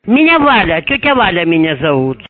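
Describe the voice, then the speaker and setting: A woman's voice: lowish voice, big pitch swings, a little fast, dry recording. A woman on a phone call, abusive and scolding, swearing at the other side. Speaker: phone caller. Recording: tinny phone line.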